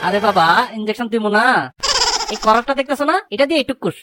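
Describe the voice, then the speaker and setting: A woman's voice: fairly high pitch, fast talker, over loud music, close to an everyday voice. A woman voicing a chatty, teasing grown-up in a cartoon, explaining things in a colloquial way. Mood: cajoling. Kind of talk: cartoon dialogue. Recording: noisy track with clicks.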